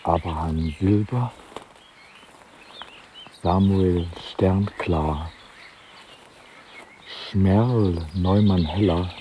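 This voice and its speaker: A man solemnly reading out a list of names and dates in a measured way. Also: sits low, mellow. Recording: outdoor birdsong and ambient noise.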